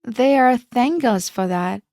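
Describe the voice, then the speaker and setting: Slow, even female story narrator. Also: a little high, dry recording, studio quality. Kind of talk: first-person story narration.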